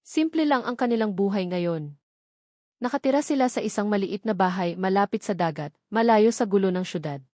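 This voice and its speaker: A woman's voice: medium pitch, animated, brisk, a little mellow, dry recording, clean studio sound. A woman reading a scripted romantic drama as a storyteller, in an earnest, sentimental manner. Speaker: storyteller and channel narrator.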